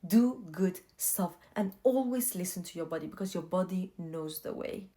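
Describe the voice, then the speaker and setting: A woman's voice: fairly low pitch, lively intonation, normal speed, mellow. A young woman whose first language is not English, talking casually to camera and giving earnest life advice, often repeating herself for emphasis.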